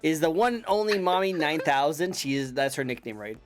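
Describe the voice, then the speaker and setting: A man hosting a casual interview, excitable and joking, repeating himself and swinging from loud bursts to mumbling. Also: on the high side, fairly quick, faint music behind. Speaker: host.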